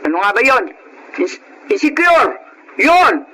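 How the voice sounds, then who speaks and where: A woman's voice: high voice, sing-song, slow pace, sharp tone, heavily acted, low-quality recording. A woman playing a domineering older mother-in-law in a Filipino radio drama, scolding with sarcasm and heavy emphasis. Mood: contemptuous, threatening. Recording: faint background noise.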